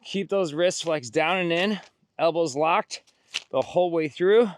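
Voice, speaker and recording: A man's voice: on the high side, a little slow, a little hiss or hum. A middle-aged man coaching a workout outdoors, cueing form, counting reps and cheering people on. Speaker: fitness instructor. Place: outdoors on a beach.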